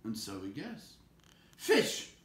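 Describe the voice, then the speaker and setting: A man narrating a storybook aloud in an expressive read-aloud style. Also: lowish voice, normal speed. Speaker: storybook reader. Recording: echoey room with faint background noise.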